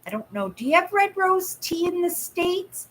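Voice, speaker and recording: A woman's voice: very high voice, sing-song, moderate pace, slight background noise, low-quality recording. A Canadian woman in her sixties from Vancouver, chatting casually with viewers on a livestream from her home, rambling and reading out their comments. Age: 60s. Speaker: livestream host. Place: home room, indoors. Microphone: webcam at desk.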